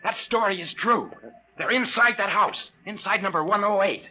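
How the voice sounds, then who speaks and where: A man's voice: high voice, moderate pace, sharp tone. An actor playing a desperate, slangy American man in a 1950s radio drama, urgent in manner, on a noisy old recording. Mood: urgent pleading. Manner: urgent, slangy tough talk. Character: desperate wisecracking American man, alarmed and disbelieved.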